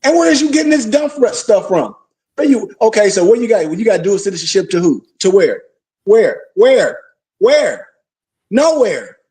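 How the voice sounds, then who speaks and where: A man's voice: high-pitched, very animated, normal speed, mellow, far from the mic. A man giving an informal religious lecture, preachy, full of rhetorical questions and call-and-response. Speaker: religious teacher.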